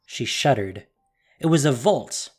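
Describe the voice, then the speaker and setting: Female narrator for fantasy audio drama: solemn, emphatic, with animated pitch movement over soft music. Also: unhurried, slightly rough. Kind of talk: dramatic scripted story narration.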